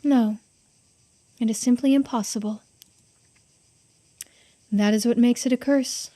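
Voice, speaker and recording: A woman voicing a stern, all-knowing authority on immortality in a visual novel game, with formal, deliberate pronouncements. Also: a little high, steady tone, warm tone, dry recording. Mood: grave, matter-of-fact.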